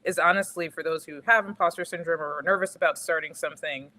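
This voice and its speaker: A woman's voice: low voice, steady tone, quick pace, sharp tone. A woman co-hosting a podcast on a video call, talking in an encouraging way. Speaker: podcast co-host. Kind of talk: casual video-call chat.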